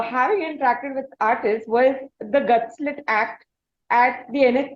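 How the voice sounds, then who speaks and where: A woman with an Indian accent hosting an interview conversationally, building her sentences in a halting, wordy way. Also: high voice. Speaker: interviewer, host. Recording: roomy, muffled lo-fi sound.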